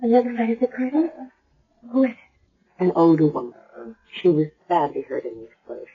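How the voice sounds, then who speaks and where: A woman's voice: fairly low pitch, sing-song, quick pace, breathy edge, exaggerated voice. An actress playing a young woman with amnesia, hesitant and stammering, in a 1970s radio drama. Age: young adult. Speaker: patient with amnesia. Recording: dull old radio broadcast.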